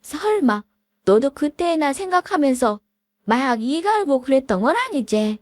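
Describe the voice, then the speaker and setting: A woman's voice: sits high, sing-song, unhurried, a little mellow, no echo, clean studio sound, pitched above the performer's own voice. A woman voicing an arrogant former school bully, a spiteful woman who taunts and scorns her old classmate. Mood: sneering.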